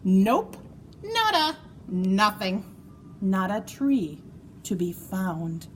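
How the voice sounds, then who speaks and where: A woman reading a children's story aloud in an expressive, playful way, with dramatic emphasis and drawn-out words. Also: medium pitch, a little hiss or hum. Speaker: storybook reader.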